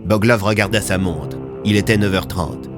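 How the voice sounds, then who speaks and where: A man's voice: on the low side, steady tone, moderate pace, music in the background. A man narrating his audio fiction from a script as its author.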